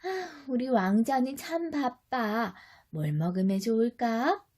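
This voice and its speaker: Lady doing a gentle queen mom from a storybook, doting, coaxing. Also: fairly high pitch, expressive, slow pace, slight background noise.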